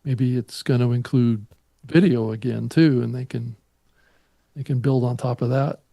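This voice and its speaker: Male co-host, middle-aged, mellow tone at average pitch, casual explanatory chat, dry recording. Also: steady tone, normal speed, vocal fry, no echo. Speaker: podcast co-host.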